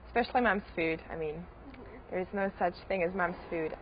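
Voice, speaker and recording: Low-toned young woman from Austria. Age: young adult. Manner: relaxed, with "um" pauses. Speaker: college tennis player. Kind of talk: casual interview answers. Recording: slightly dull, faint hiss.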